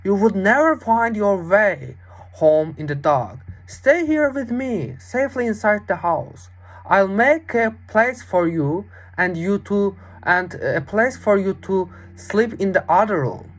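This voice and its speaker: A woman voicing a big, sly, child-eating monster in a fairy tale, with slow, drawn-out questioning. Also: mid-range voice, lively intonation, pitched above the performer's own voice. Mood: coaxing.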